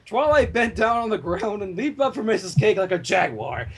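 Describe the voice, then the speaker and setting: A woman reads a story dramatically and informally. Her mid-range voice moves around a lot in pitch and has a husky edge. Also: very animated, normal speed. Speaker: fan fiction reader and narrator.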